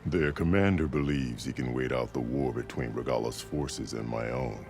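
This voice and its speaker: Very deep-voiced, solemn warrior chief. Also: male voice, creaky. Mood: authoritative. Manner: formal, solemn, measured storytelling. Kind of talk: dramatic video game dialogue. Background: music.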